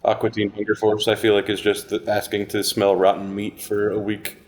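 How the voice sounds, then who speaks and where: A man's voice: fairly low pitch, completely flat delivery, a little fast. A man on a podcast panel, chatting casually with a dry, quippy delivery.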